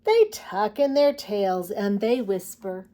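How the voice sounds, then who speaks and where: A woman hosting a children's storytime, reading picture books aloud in an expressive, sing-song way and talking directly to young listeners. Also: medium pitch, slow.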